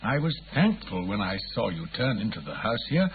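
A huffy old bookseller. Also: male voice, fairly low pitch, very animated, low-quality recording. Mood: humble. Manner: fussy and huffy in disguise, then brisk and commanding. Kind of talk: 1940s radio acting. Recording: old radio recording with hiss.

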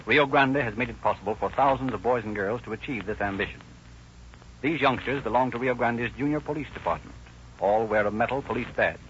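A man's voice: on the low side, fairly bright tone. An American man announcing and narrating in a scripted 1930s radio style. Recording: noisy, muffled old radio recording.

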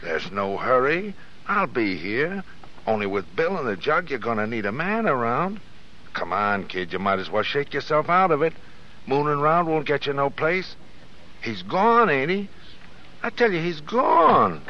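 An actor playing a scheming, two-timing American crook, slangy and insistent, in an old-time radio drama. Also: male voice, on the high side, sing-song, unhurried. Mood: oily, pushy. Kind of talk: 1930s-40s American radio drama acting. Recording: dull old radio recording.

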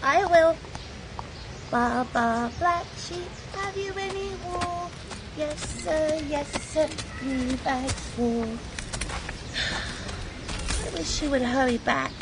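A woman's voice: high voice, takes it slowly, long pauses, background noise. An actress playing a sweet, bold seven-year-old girl in a radio drama, with a small, innocent, direct way of talking. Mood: innocent, curious.

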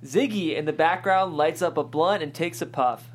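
A woman's voice: low-pitched, normal speed. A woman reading scripted stage directions as the narrator at a table read.

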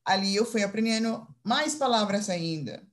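A Dutch-accented woman from Curaçao answers interview questions, pausing and restarting as she searches for words. Her pitch sits in an ordinary middle range for a woman. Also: lively intonation. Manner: hesitant, searching for words with many fillers and restarts. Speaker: English teacher, interview guest.

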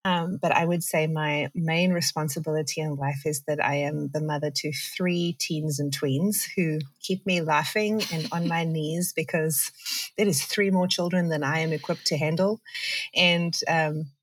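A woman's voice: low voice, fairly even intonation, normal speed. A woman in her forties from South Africa with a South African accent, a podcast guest talking thoughtfully and laughing often. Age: 40s. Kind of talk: conversational interview.

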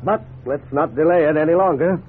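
Actor as a measured attorney, tinny vintage radio. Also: male voice, fairly high pitch, lightly acted. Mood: calm, firm. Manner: measured, formal, explanatory. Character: calm, authoritative attorney advising his clients. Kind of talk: 1950s radio drama acting. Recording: noisy, tinny 1950s radio recording.

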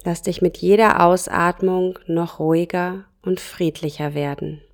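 A woman guiding a yoga nidra relaxation, speaking softly and calmly in short phrases with long pauses. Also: on the low side. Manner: slow, soft and calm, with pauses between short phrases. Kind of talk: guided relaxation meditation.

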